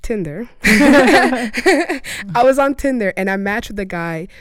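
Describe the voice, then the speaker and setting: A woman's voice: on the high side, lively intonation, slow, no room sound, clean studio sound. A woman in her late twenties from Rwanda, whose first language is Kinyarwanda, talking as an interview guest with frequent laughs and restarts. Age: 20s. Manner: laughs often, many restarts and trailing clauses. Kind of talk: casual interview storytelling.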